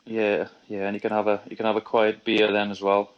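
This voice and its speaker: A man in his thirties, a rugby player chatting casually as a guest, talking in halting bits with many restarts. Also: on the low side, monotone, muffled recording. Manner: halting, lots of restarts and fillers. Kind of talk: casual chat on a remote call.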